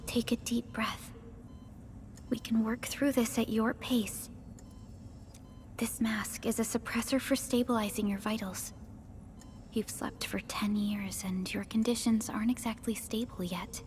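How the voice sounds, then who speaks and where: A woman playing a calm, caring young supervisor in a video game, speaking softly and breathily in a measured, gentle, formal manner. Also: a little high, faint music behind, natural voice. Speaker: game character voice actor. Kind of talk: scripted video game dialogue.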